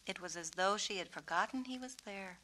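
A woman reading a children's storybook as narrator, with a measured, expressive delivery. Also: medium pitch, a little hiss or hum. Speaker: author and narrator.

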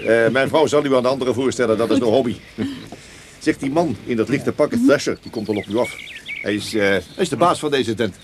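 An actor playing a jovial, familiar party guest in a radio drama, chatting informally with a chuckling manner. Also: male voice, medium pitch, fairly quick, a little hiss or hum, lightly acted. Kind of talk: Dutch radio drama acting.

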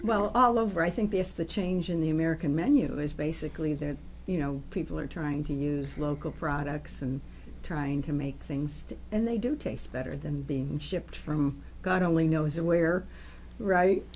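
Lower-pitched older woman co-hosting. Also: moderate pace, darkish tone, a little hiss or hum. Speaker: cooking show co-host. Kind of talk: casual chat.

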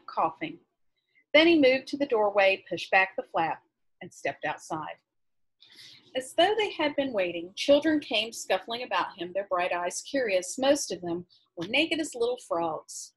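Husky American woman reading aloud. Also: mid-range voice, big pitch swings, unhurried, low-quality recording. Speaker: high school English teacher reading to class. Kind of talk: reading a novel aloud with teacherly asides. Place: small room at home, indoors.

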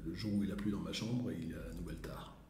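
Man, mellow tone, informal interviewee, repeats himself, faint background noise. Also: low voice, very fast. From France. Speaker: musician in a blues duo, interviewee. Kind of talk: casual spoken interview. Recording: roomy, echoing space with background noise.

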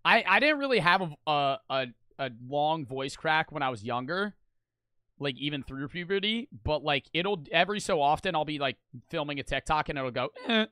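A young man streaming casually, talking fast and animated with lots of swearing, close to the mic. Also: a little high, clean tone, no room sound.

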